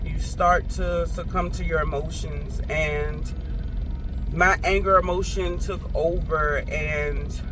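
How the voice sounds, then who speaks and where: A woman talks straight to her viewers in an earnest, conversational pep talk, often restarting her sentences. She takes her time over every phrase. Cars and street noise run clearly behind her. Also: fairly even intonation, takes it slowly. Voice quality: deep voice. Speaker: vlogger.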